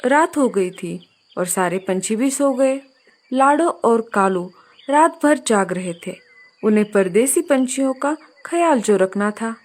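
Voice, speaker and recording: A woman storyteller with a slightly high voice. She delivers scripted narration for a children's cartoon tale. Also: lively intonation, slow, a little mellow.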